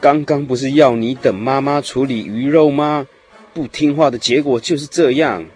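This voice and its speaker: A man playing a patient, steady father of small children in a radio drama, coaxing and explaining. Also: medium pitch, normal speed, faint music behind, lightly acted. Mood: concerned.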